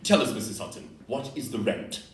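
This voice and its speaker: Courteous, decisive Victorian gentleman detective for a male actor, formal and lively, roomy stage recording. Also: medium pitch, a little fast, natural voice. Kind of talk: stage audio-play acting. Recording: echoey room, distant mic, faint noise.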